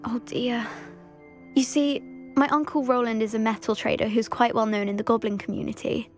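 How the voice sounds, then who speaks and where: Actress as an anxious, high-voiced schoolgirl. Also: steady tone, moderate pace, faint music behind, lightly acted. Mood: worried. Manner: earnest, worried, well-spoken. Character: anxious, earnest teenage schoolgirl fretting over family. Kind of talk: video game cutscene acting.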